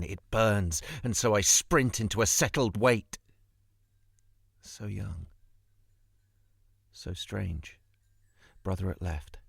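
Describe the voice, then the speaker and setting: A man reading a literary poem with deliberate, dramatic phrasing, close to the microphone. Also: low-pitched, vocal fry, breathy edge, no room sound. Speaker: guest poet reading a poem. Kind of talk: literary poetry recitation.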